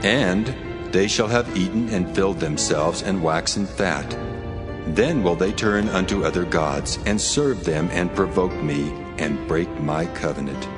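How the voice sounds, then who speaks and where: A man playing God as a grave, stern deity pronouncing judgment in a dramatized scripture reading, with deliberate authority. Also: sits low. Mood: grave warning. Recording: music under the voice.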